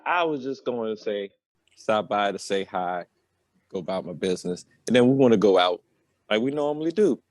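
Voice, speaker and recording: A man's voice: on the low side, expressive, normal speed. A man telling a story on a podcast in a casual, rambling, slangy way. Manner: colloquial, slangy.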